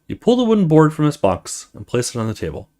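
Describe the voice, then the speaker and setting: A man reading a horror visual novel aloud as a let's play host, with expressive storytelling and casual asides. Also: a little high, quick pace.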